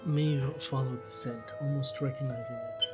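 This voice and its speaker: A narrator tells a scripted story for a fiction podcast. The delivery is descriptive and built on short phrases. Loud music runs behind the voice. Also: mid-range voice, steady tone, normal speed.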